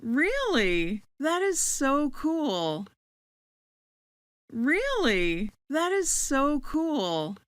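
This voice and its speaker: A woman playing a friendly new student in a slow scripted dialogue for English learners, saying each line twice. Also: high voice, big pitch swings, no room sound, clean studio sound, heavily acted.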